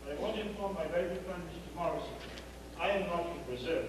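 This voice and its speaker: A man from Guyana speaks high and formally at a conference, slipping in wry jokes between the letters he reads. He sounds distant, caught far from the mic. A loud echo fills the hall around him, with steady noise underneath. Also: quick pace. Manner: wry, joking asides to the audience. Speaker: conference speaker reading messages. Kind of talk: formal address, reading letters aloud.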